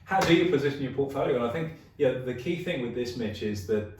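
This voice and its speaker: A hoarse, gravelly-voiced man with an Australian accent, hosting an investing podcast and explaining ideas through everyday examples. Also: mid-range voice, lively intonation, fast talker, heavy reverb, recorded from a distance, slight background noise, poor audio. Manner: explains with everyday analogies, frequent restarts. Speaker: podcast host. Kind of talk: casual investing podcast chat.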